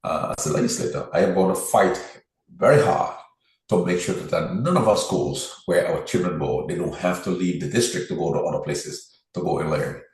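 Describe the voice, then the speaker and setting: Man, very low voice, earnest and determined, heavy room echo. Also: normal speed, a little mellow. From Liberia. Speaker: political candidate. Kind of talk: interview answers, campaign pitch. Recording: very echoey room.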